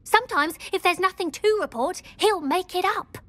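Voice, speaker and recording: A woman's voice: extremely high pitch, normal speed, fairly bright tone, no room sound. An actress playing a defiant young schoolgirl troublemaker in a video game, dry and sardonic. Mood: aggrieved. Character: cheeky, defiant fourth-year schoolgirl troublemaker. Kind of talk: scripted game acting.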